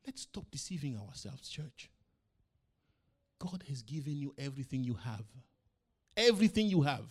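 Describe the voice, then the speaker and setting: A middle-aged man, a pastor whose first language is not English, preaching a sermon to his church with emphatic rhetorical questions, swinging between loud and soft. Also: mid-range voice, very animated, moderate pace.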